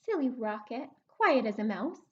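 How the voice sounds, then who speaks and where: High-pitched, animated woman reading to children. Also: normal speed, dull audio. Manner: sing-song, animated, prompting young listeners with questions. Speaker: children's librarian hosting story time. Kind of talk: children's story time with read-aloud and rhyme game.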